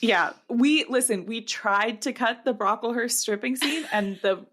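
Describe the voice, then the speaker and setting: High-voiced woman podcaster, playfully joking. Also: measured pace, a bit bright. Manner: playful, joking, mock-serious. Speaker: podcast co-host. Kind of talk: casual comedic podcast chat.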